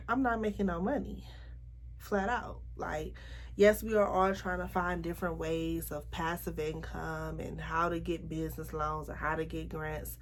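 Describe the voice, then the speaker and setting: A young woman vlogging to camera about her makeup business, chatty and frank, her voice a little raspy as she gets over a cold. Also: lowish voice. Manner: chatty, frank, drawn-out emphasis. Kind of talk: casual talk to camera.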